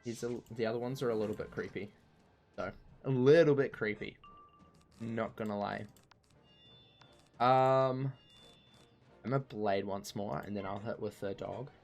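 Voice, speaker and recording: A man with a croaky voice chatting casually while streaming a game, rambling and poking fun at himself. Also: fairly low pitch, fairly even intonation, unhurried, pauses often, darkish tone, soft background music. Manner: rambling and self-mocking, laughs easily. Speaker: streamer playing an online game. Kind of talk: casual chat while gaming.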